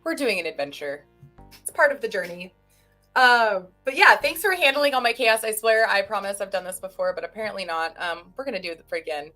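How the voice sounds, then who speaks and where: A woman's voice: medium pitch, expressive, brisk, sharp tone, faint music behind. Woman streamer, chatty and self-deprecating, loose stream banter into a computer mic. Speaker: stream co-host. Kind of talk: casual livestream chat.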